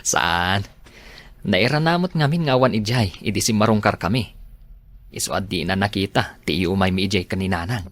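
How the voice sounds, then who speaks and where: A man's voice: fairly low pitch, moderate pace, close to an everyday voice. A man with an Ilocano accent acting a protective, earnest friend in a radio drama, given to exasperated scolding. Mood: calm, earnest.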